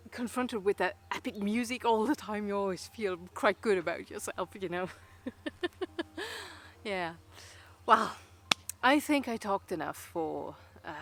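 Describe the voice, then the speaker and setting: Woman, mid-range voice, Luxembourgish accent; casual, hesitant vlog chat. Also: medium pitch. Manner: rambling and hesitant, many fillers and restarts. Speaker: vlogger. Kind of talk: casual rambling chat to camera.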